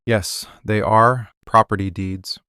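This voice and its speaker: A man playing a possessive, obsessive mafia boss in an intimate boyfriend ASMR roleplay, speaking slowly, deliberately and with commanding, menacing intensity. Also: fairly low pitch, fairly even intonation, bright, forceful tone, clear, resonant tone, no room sound, studio quality. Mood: controlling, intense. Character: possessive, obsessive male mafia boss confronting his love. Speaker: ASMR voice actor.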